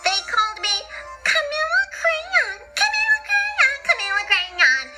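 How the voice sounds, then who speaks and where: A woman voicing an excitable, dramatic young schoolgirl in a gushing, giggly, gasping storytelling manner. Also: extremely high pitch, measured pace, over loud music, exaggerated voice. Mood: upset. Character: Camilla, excitable dramatic young schoolgirl who secretly loves lima beans. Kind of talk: animated children's storytelling in character.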